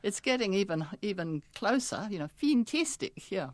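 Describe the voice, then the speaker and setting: A woman's voice: mid-range voice, sing-song, normal speed, no room sound. An older woman with a New Zealand accent, a retired linguistics professor talking chattily in a radio interview, laughing often and restarting words.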